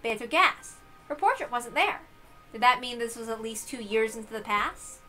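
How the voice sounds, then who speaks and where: A woman narrates a visual novel as its reader. Her voice sits high, and the delivery is scripted and dramatic. Soft music runs behind her. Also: animated, normal speed.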